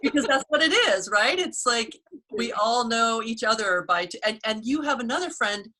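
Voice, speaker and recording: An American woman hosts a relaxed video call with a bright, clear voice. She tells stories in a chatty way and laughs along. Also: on the high side, moderate pace, low-quality recording. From United States. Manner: chatty storyteller, warm laughs. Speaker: host. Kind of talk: casual video call chat.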